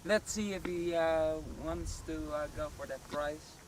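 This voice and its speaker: A man's voice: on the high side, a little slow, poor audio. A man chatting casually outdoors, speaking hesitantly with many fillers. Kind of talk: casual chat. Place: outdoors near an airport. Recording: faint background noise outdoors. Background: outdoor ambience, vehicles.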